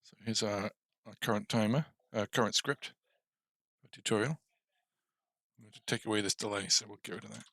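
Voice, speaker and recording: A man's voice: lowish voice, fairly quick, creaky, fairly bright tone, no echo, clean studio sound. A man casually thinking aloud as he hosts a game coding tutorial livestream. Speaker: game development tutorial host.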